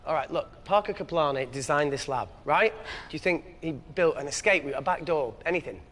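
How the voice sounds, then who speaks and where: A man's voice: on the high side, brisk, fairly bright tone, close to an everyday voice. A man acting a wry, suspicious scientist in a live-action game film, speaking informally. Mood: urgent, bitter. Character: wry male scientist, suspicious and sarcastic under pressure.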